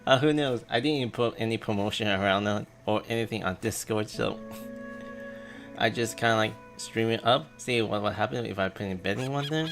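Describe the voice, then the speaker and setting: A man's voice: on the low side, brisk. A man chatting casually to livestream viewers in a hesitant, rambling, self-effacing way. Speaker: game livestreamer. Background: game music.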